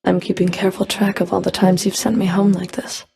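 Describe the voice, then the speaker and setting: A woman playing a stern, scornful immortal underworld enforcer in a video game, speaking in a cold, curt, guarded way with a gravelly edge. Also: on the low side, little pitch movement. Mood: grudging, bitter. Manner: cold, curt, disdainful, deliberate threats.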